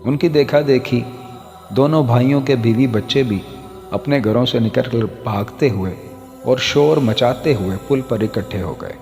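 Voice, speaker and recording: A man narrates a moral tale at a slow, unhurried pace. His mid-pitched voice is formal and measured, like a scripted reading. It is soaked in long hall reverb. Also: a little mellow. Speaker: storyteller. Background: background music.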